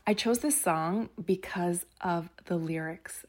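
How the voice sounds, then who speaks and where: A woman's voice: lowish voice, takes it slowly, a little mellow. A woman presenting her favourite songs on a music countdown, talking personally and with enthusiastic appreciation.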